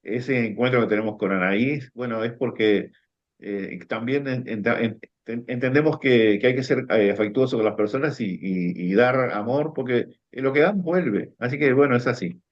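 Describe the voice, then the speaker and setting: A man's voice: medium pitch, normal speed. A man talks as a coach, earnest and heartfelt, stumbling over restarts. His mid-range voice comes through a dull, lo-fi recording. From Uruguay. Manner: warm, earnest, many restarts. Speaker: coach and teacher, co-host. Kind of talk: casual conference talk. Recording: dull lo-fi sound.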